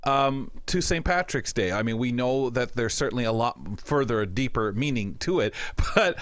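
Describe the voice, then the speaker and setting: A man's voice: medium pitch, lively intonation, moderate pace, a bit bright, no echo. A man co-hosting a casual podcast chat show in a conversational way. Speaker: podcast co-host.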